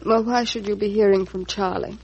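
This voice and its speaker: A dull vintage radio recording of an anxious American wife. Her voice is husky and mid-pitched, pressing her point in period melodrama style. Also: steady tone, fairly quick, a little mellow. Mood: pleading, impatient. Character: anxious, persuasive wife urging her husband to rest. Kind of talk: 1940s radio acting.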